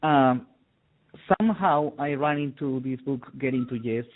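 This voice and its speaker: A man's voice: medium pitch, a little slow. A middle-aged man from Peru speaks as a guest. He explains things casually and hesitantly, with many fillers and repeated words. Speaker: guest expert on conflict resolution. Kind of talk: phone interview, casual explanation. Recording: tinny phone line.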